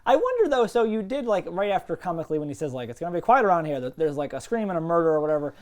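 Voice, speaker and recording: A co-host of a TV review show chatting casually and often acting out quoted lines in an animated way. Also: medium pitch, rapid-fire.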